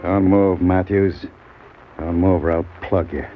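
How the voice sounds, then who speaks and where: A man's voice: low-pitched, steady tone, measured pace, soft background music. An actor playing a cold, brutal American gunman in a radio crime play, speaking in curt threats. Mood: menacing. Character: menacing gunman husband, cold brutal gangster villain. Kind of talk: 1940s-50s radio crime acting. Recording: dull, slightly noisy old radio recording.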